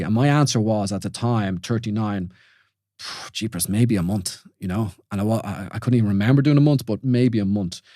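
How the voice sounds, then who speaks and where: Irish man in his forties, low-pitched. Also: warm tone, no echo, clean studio sound. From Ireland. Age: 40s. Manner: informal, questioning, many restarts and "you know". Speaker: podcast host. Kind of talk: reflective solo podcast talk.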